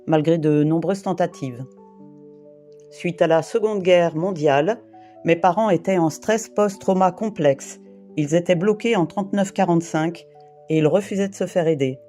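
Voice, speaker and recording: A woman from the Paris region, in her late fifties or older, gives a prepared first-person testimony in a grave, deliberate way, piling up short phrases one after another. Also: on the low side, dark tone. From Île-de-France, France. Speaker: interviewee giving testimony.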